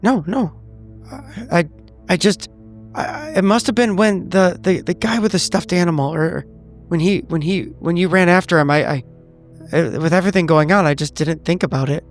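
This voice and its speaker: A man's voice: sits high, moderate pace, faint music behind, natural voice. A man voicing a shaken, evasive veteran agent in a tabletop roleplay, stammering and repeating himself. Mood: flustered, distressed. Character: shaken, evasive male veteran agent, smoking, guiltily confessing. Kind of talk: improvised in-character roleplay.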